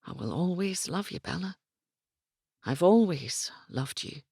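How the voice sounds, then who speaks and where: A woman voicing a brooding Highland warrior, speaking in a grave, hushed way. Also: expressive, measured pace, creaky, husky, no echo, clean studio sound, exaggerated voice.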